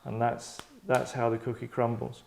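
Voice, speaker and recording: A man's voice: medium pitch, monotone, normal speed, gravelly, darkish tone, poor audio. A man hosting a table read in a rambling, digressive, tongue-in-cheek chat. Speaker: host and writer running a table read.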